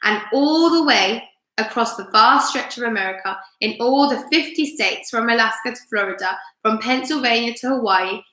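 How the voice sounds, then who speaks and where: A woman who works as a teacher, reading a story aloud on a live stream and chatting expressively with her young listeners. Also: on the high side. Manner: expressive, asks listeners questions. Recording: roomy, echoey room sound.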